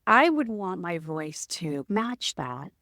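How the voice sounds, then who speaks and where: Loud, booming woman co-host. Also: takes it slowly, no room sound, studio quality. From Arizona, USA. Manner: energetic, animated, jokey. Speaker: podcast co-host. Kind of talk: casual podcast chat.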